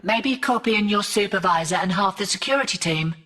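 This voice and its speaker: A woman's voice: mid-range voice, fairly quick, distant mic, noisy background, lightly acted. A woman voicing a tough, sardonic, weary streetwise mercenary in a video game, with clipped, slangy delivery. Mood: tense, suspicious. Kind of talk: scripted video game character dialogue.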